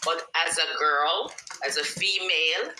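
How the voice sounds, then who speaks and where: Sharp, sassy Jamaican woman ranting. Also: low voice, unhurried, roomy sound, slight background noise, rough recording. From Kingston, Jamaica. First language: Jamaican Patois. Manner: confrontational, sassy, emphatic repetition. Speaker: online vlogger and podcaster. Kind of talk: informal direct-to-camera rant.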